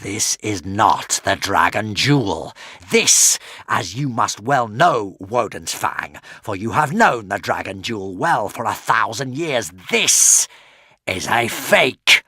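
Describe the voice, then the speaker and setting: A man's voice: low-pitched, expressive, unhurried, vocal fry, pushed and bright, breathy edge, no echo, clean studio sound, full character voice. A man voicing a huge, savage, ancient male sea dragon who hisses with menace. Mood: furious. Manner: hissing, furious, menacing.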